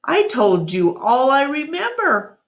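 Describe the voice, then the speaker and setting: A woman voicing an earnest, gentle male gorilla who speaks hesitantly as a protector in a children's storybook. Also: high-pitched, sing-song, slow pace, voice pushed up, heavily acted. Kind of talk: children's storybook read-aloud.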